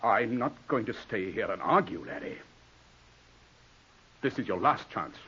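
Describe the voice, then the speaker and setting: Bossy scornful english lawyer in an old radio play, husky, big swings in pitch, dull recording. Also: male voice, lively intonation, measured pace, exaggerated voice. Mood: urgent, cold. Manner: forceful, scornful, lecturing. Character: domineering English lawyer, ambitious, exasperated older brother. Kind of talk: 1940s radio drama acting.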